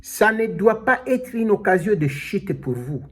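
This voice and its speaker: Man, pastor, sermon, emphatic, very slow delivery, husky voice. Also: sits high, very animated, a bit bright. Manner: slow, emphatic preaching with calls of alleluia.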